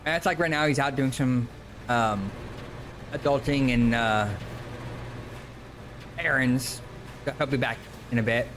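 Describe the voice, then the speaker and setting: A man's voice: mid-range voice, a little slow, long pauses, a bit bright. A man in his early forties chatting casually and informally as he streams a game. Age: early 40s. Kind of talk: casual chat while gaming.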